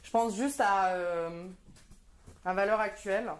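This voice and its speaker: A woman's voice: mid-range voice, slow pace. Wry hesitant woman in her thirties. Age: 30s. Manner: hesitant, many filler sounds, wry and sardonic. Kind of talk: stand-up comedy monologue.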